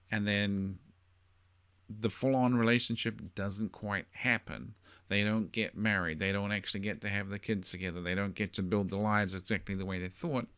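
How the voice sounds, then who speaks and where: Middle-aged New Zealand man, podcast host, relaxed advice monologue, somewhat low pitch, conversational hedging. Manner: thoughtful, conversational, with frequent hedging fillers. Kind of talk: casual solo monologue.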